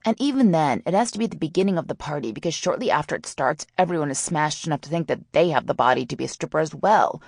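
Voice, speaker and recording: A woman's voice: sits low, animated, fast, hardly any pauses, darkish tone, no room sound, studio quality. A woman voicing a brash, sarcastic, mischievous teenage girl in an audiobook, wordy and teasing. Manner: wordy, teasing, mock-haughty.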